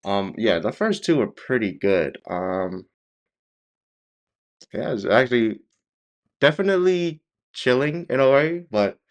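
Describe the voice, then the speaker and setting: A man hosting a reaction video, giving casual commentary in a nonchalant, low-key way with trailing, hesitant phrases. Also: lively intonation, measured pace, pauses often.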